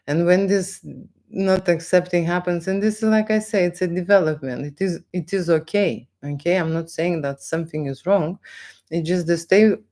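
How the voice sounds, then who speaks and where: A woman with a Lithuanian accent chats unscripted on a live stream, haltingly, repeating phrases and often checking in with short tag questions. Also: on the low side, a little mellow. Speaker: live stream host, photographer. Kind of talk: unscripted live stream chat, answering viewers.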